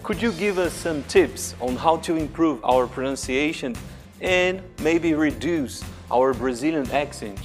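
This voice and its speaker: A woman hosting an interview, asking friendly, clear questions and closing with a sign-off. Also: low voice, expressive, unhurried, faint music behind. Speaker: interviewer and channel host.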